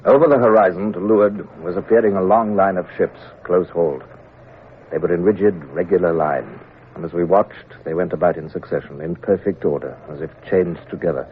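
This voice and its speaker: An actor playing a naval captain who narrates his own story in a measured, somber, reflective way, in an old radio drama. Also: sits low, natural voice. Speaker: narrator and lead character. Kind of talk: 1950s-style radio drama. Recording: tinny, hissy old radio broadcast.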